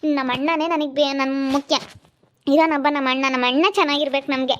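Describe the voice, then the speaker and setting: A woman's voice: high-pitched, steady tone, fairly quick, natural voice. A woman acting a pleading, devoted younger sister in a family drama, imploring and repeating herself. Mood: hurt, devoted. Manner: repetitive, imploring. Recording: dull lo-fi sound.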